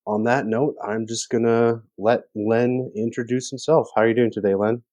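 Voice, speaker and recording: A man's voice: medium pitch, little pitch movement, moderate pace, darkish tone. A man hosting a podcast, asking questions in a hesitant way with many fillers and restarts. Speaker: podcast host. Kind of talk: casual interview.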